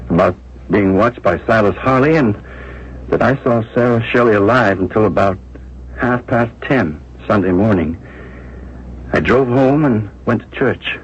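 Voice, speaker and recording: Distraught witness guy confessing, raspy-ish husky, faltering n intense, old muffled radio drama. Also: medium pitch. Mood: strained, solemn. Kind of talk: 1970s radio drama acting. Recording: muffled 1970s radio broadcast.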